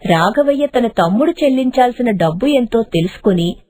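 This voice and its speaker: A woman reads a scripted moral tale as storyteller. Her voice is very high with animated pitch movement. The recording is dry and close. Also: moderate pace, dry recording.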